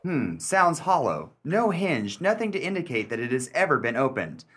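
A man playing a self-assured, skeptical gentleman puzzle expert in a radio mystery, with precise, formal diction and a dryly condescending manner. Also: medium pitch, big pitch swings, normal speed, breathy edge. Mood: curious, methodical. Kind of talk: radio mystery acting, period dialogue.

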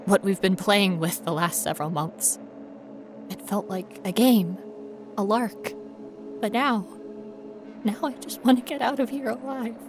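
A woman's voice: mid-range voice, a little slow, long pauses, noisy background, lightly acted. A woman playing a frightened, wry young ghost researcher in an audio horror monologue, rambling nervously to herself. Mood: reflective, uneasy. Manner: rambling nervous self-talk, sardonic, often dropping to whispers.

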